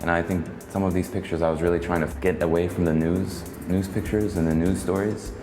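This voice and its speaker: A soft-spoken man who grew up in Hong Kong, a photographer reflecting slowly and haltingly in a documentary interview. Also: deep voice, fairly even intonation. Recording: roomy echo with long reverb and faint music.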